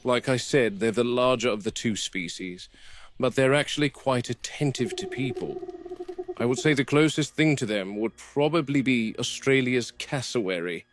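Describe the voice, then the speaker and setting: Man playing a measured male game warden. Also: medium pitch, a little hiss or hum, close to an everyday voice. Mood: measured, explanatory. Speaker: game warden narrating a video log. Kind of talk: found-footage documentary narration.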